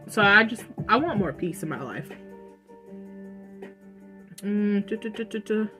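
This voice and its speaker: Chatty young woman with a loud, bright voice. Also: mid-range voice. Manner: chatty, says "like" often. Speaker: vlogger answering viewer questions. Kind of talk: casual Q&A vlog. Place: room at home with dogs.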